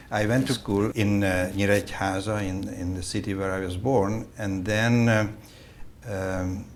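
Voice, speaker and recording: Halting older man, very deep voice. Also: slight background noise. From Hungary. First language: Hungarian. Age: 70s. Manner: slow and halting, many fillers and restarts. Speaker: interview guest, vascular surgeon. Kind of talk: recorded oral-history interview.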